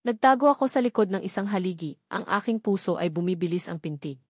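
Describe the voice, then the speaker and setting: A woman giving a scripted, emotive narration of a dramatic story in a measured read-aloud manner. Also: fairly low pitch, expressive, slightly rough, no echo, studio quality.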